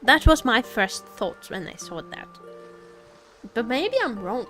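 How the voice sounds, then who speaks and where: A woman's voice: a little high, very animated, slow, fairly bright tone, faint music behind. A woman live-streaming a game, chatting casually and expressively, often repeating her phrases. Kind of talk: casual livestream chat while gaming.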